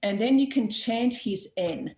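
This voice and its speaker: A woman's voice: fairly high pitch, expressive, unhurried, a little mellow. A woman hosting a witchcraft talk show, answering viewer questions in a blunt, chatty way with frequent laughs, fillers and restarts. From Australia. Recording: roomy echo.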